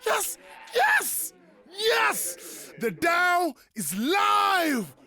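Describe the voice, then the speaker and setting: An actress playing an excitable, eager young collective member in an animated motion comic. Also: female voice, very high-pitched, very slow, raised voice, full character voice. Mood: elated. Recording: music behind the voice.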